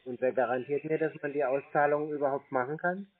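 Terse deadpan man on a phone line. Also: on the high side, a little fast. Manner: terse, deadpan, sceptical, stonewalling. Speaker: person answering a sales call. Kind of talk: casual phone conversation. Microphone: telephone call.

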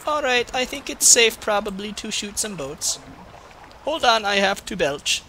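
Guy doing a crude boastful russian gamer dude, highish sharp voice, broken english, bit of background noise. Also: animated, measured pace, exaggerated voice. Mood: grumbling. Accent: put-on Russian. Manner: crude, swearing, mock-menacing, broken English. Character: crude, boastful, grumbling Russian man playing games. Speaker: gamer commentating gameplay video. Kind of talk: comic gameplay commentary.

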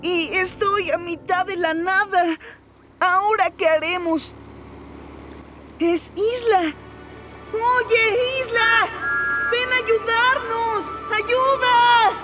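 A woman's voice: extremely high pitch, fairly even intonation, drawn-out words, long pauses, full character voice. A woman playing an eager, anxious young male steam engine in a Latin American Spanish cartoon dub, dramatic and exclamatory. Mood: pleading. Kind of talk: dubbed children's cartoon acting. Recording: loud music behind the voice. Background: loud cartoon music.

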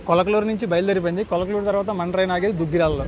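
Andhra telugu guy doing a train vlog, talks quick, chatty to viewers, clear train noise behind him. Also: fairly even intonation. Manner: chatty, addresses viewers directly. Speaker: train travel vlogger. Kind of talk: casual travel vlog commentary. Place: on board a moving train. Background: train rumble and outdoor ambience.

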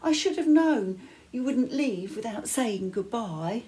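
Storybook young girl played by a woman: tender, heartfelt, wonder-filled, lively pitch. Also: takes it slowly. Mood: joyful relief.

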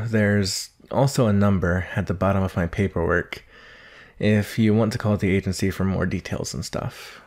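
A man's voice: fairly low pitch, darkish tone. A man playing a shy, earnest nineteen-year-old naga monster boy in a conversational ASMR roleplay, hesitant, nervous and apologetic. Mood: friendly, tentative.

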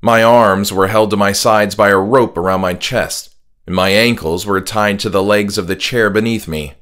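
Low-voiced man narrating horror. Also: fairly even intonation, normal speed, a bit bright. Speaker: author and audiobook narrator. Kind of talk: scripted horror narration, first person. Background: music.

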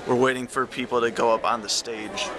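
A man's voice: medium pitch, fairly even intonation, fairly quick. Young male vlogger, playful and giggly, camera at arm's length in a noisy packed auditorium. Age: young adult. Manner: giggly, joking, laughs between lines. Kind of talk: casual vlog chat to camera. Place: dark school auditorium at a band concert. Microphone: handheld camera at arm's length. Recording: noisy crowded auditorium camcorder audio. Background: audience chatter and room noise.

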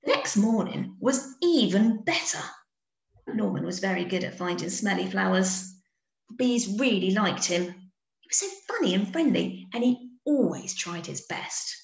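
A woman teacher reads a children's story with plenty of expression. Her pace is unhurried and her pitch rises and falls in a lively way. The recording has a noticeable room echo. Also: gravelly. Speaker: teacher reading to pupils. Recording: echoey room.

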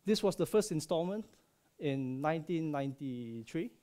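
A man's voice: a little high, animated, normal speed, mellow. A man in his thirties, from Malaysia, giving a friendly conference talk in a large lecture hall, with plenty of ums and light jokes. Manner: friendly, joking, many ums and uhs. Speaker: subsea processing engineer. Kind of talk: conference presentation. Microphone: headset.

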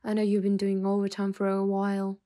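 A woman playing a stern, weary boss in an ASMR roleplay, speaking in a measured way with sighs and hesitant pauses. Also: mid-range voice, completely flat delivery, mellow, natural voice. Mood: weary, softening. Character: stern, weary female mafia boss scolding an employee. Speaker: ASMR voice actor.

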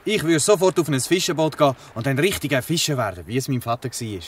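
A man plays an eager teenage lad in a Swiss German radio drama. His voice is mid-pitched and husky, slightly away from the microphone. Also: lively intonation, quick pace. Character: eager orphaned lad dreaming of fishing. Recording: slightly distant from the mic.

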